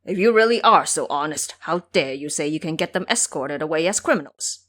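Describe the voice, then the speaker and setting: A woman's voice: sits low, lively intonation, brisk, a bit bright, pitched below the performer's own voice. A woman voicing an exasperated male woodcutter in an audio drama, scolding and blunt with emphatic delivery. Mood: indignant.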